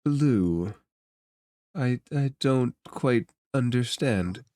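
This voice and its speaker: A man voicing a courteous, enigmatic stranger in a tabletop space fantasy game, formal, unhurried and ingratiating. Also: mid-range voice, lively intonation, warm tone, no echo, clean studio sound. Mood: curious.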